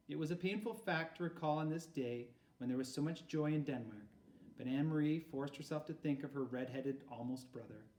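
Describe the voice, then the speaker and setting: A man narrating a storybook aloud in an expressive read-aloud style. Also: medium pitch. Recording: roomy echo, far from mic.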